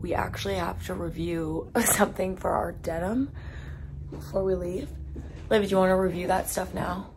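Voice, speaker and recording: Hoarse young woman chatting to camera. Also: fairly low pitch, steady tone, normal speed, background noise. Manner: chatty, says "like" constantly. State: hoarse, lost her voice. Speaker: vlogger. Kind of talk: casual vlog chat. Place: bedroom, indoors. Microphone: phone camera at arm's length.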